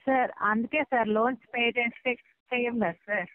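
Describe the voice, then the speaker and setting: Woman, quick speech, polite, persistent, halting phrases, debt collection agent, narrow tinny phone audio. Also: a little high, no echo. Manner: polite, persistent, halting, repeatedly says sir. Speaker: loan recovery agent. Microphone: phone line. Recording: thin, band-limited phone call recording.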